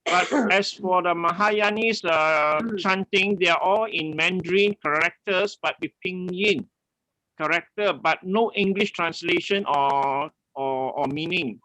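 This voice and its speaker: An older man asking his teacher a question on a video call, speaking carefully and haltingly with some coughs. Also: sits high, sharp tone, no echo, low-quality recording. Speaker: student.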